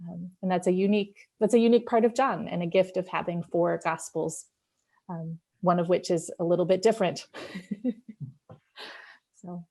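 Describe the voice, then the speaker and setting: Lower-voiced woman leading a Bible study. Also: fairly even intonation, moderate pace, pauses often, warm tone. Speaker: group leader and pastor of a church Bible study. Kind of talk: casual Bible study discussion.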